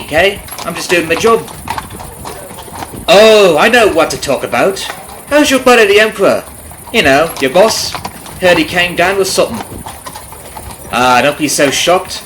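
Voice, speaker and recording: Casting: male actor as folksy, rambling carriage driver for ASMR roleplay, lightish pitch, friendly on top and sly beneath, horse noise behind. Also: fairly bright tone, poor audio. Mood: chatty, teasing. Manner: folksy, rambling, nosy, hesitant with mock-innocent asides. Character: chatty, falsely friendly substitute carriage driver, slyly menacing. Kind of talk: ASMR roleplay audio drama, addressing the listener. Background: clip-clopping horse, carriage ambience.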